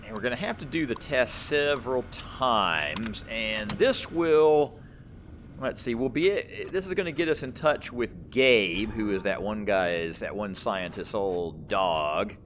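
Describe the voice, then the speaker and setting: Low-voiced man doing casual gameplay commentary. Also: animated, measured pace, fairly bright tone, a little hiss or hum. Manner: explanatory, rambling, thinking aloud. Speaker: gamer, let's play host.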